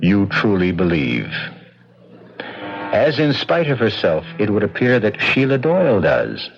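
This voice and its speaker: A man with an American accent hosting a vintage radio mystery, narrating theatrically and ominously with dramatic pauses. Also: fairly low pitch, wildly swooping pitch, vocal fry. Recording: dull old radio recording, loud music.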